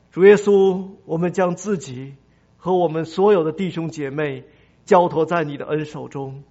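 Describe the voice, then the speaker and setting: A man leading a congregation in earnest prayer, speaking in solemn, flowing phrases. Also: fairly high pitch. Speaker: church prayer leader. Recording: dull lo-fi sound.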